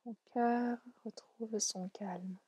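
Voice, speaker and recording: A woman leading a guided relaxation meditation in a soft, soothing, gentle and unhurried way. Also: a little high, fairly even intonation, slightly rough, dry recording. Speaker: yoga nidra guide.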